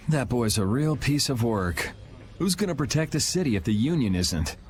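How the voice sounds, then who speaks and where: A man's voice: medium pitch, expressive, moderate pace, lightly acted. An actor playing a cool, wry young swordsman in a video game.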